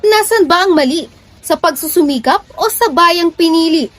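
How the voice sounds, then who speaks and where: A woman's voice: very high voice, animated, moderate pace, a bit bright. A woman narrating reader's theatre verse in a dramatic, declamatory way.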